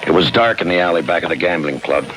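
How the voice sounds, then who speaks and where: A noisy old radio recording carries a low-pitched male actor as a hardboiled police lieutenant. He is laconic and wry, delivering noir dialogue and narration with deadpan sarcasm. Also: fast, lightly acted. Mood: weary, deadpan narration. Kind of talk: 1950s radio crime drama acting, noir narration.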